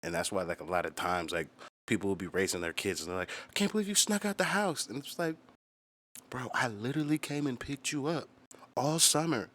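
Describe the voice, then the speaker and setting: A man's voice: medium pitch, very animated, a little fast, a bit bright. A man hosting a channel, telling stories casually and bluntly, with plenty of slang and swearing. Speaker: host of a music storytelling channel. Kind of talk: casual, profane storytelling to viewers.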